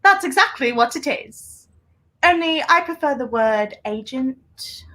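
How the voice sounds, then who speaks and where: A hunted female secret agent acted by a woman speaks in a fairly high voice. She is intense and secretive, weighing her words with deliberate drama in the style of a 1930s radio thriller. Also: animated, sharp tone, full character voice. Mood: secretive, proud. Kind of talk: 1930s radio play acting.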